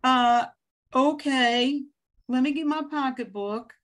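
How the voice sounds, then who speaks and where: An older woman plays a hospitable Creole grandmother who loves her kitchen. She speaks slowly in a slightly raised voice, in the homely manner of an amateur radio drama. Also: sits high. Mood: shocked, flustered. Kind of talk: amateur radio play acting.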